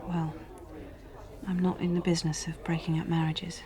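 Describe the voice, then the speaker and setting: A worldly, guarded woman played by an actress in a radio drama, her voice sitting on the low side. She plays an escort who speaks candidly and wryly, keeping things matter-of-fact while staying wary. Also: level tone, normal speed, mellow, close to an everyday voice. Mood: wry, resigned. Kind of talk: naturalistic radio drama acting.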